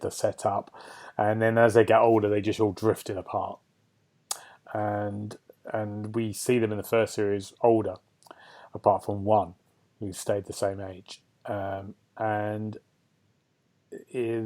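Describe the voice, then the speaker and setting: A man's voice: on the low side, darkish tone. A man hosting a podcast, chatting casually about games and television in a rambling, enthusiastic way. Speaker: podcast host.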